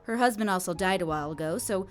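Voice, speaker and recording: A woman's voice: on the low side, fast talker, lightly acted. A woman playing a coolly polite, condescending hospital doctor in an audio drama, with formal, clinical phrasing. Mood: clinical, assured.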